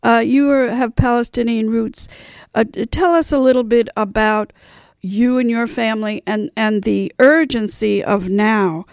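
A woman's voice: fairly high pitch, unhurried, vocal fry, darkish tone, smooth, no echo, clean studio sound. A woman hosting a radio interview, putting questions in a conversational, unscripted way.

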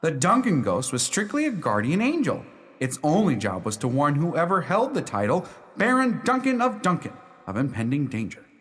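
A reverberant hall carries the voice of an unruffled, theatrical gentleman lawyer in a radio drama. He is formal and matter-of-fact, with a slightly high pitch that rises and falls dramatically. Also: huge pitch swings, normal speed. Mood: formal, self-assured. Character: droll, unruffled lawyer of Scottish descent who owns a haunted house. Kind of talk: radio play storytelling and dialogue.

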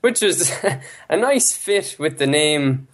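A man's voice: a little high, expressive, slow pace. An Irish man in his thirties, a native Irish speaker from the west of Ireland, chatting loosely as a guest over a Skype call. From Ireland (County Clare). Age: 30s. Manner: chatty, many fillers, laughs easily. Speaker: guest, language-learning founder. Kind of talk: casual interview talk.

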